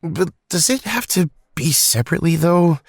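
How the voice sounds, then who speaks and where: A man's voice: a little high, expressive, moderate pace, gravelly, husky, no echo, clean studio sound, heavily acted. A man playing a loyal, reluctant teenage hero in an audio drama, hesitant and defensive in a conversational way.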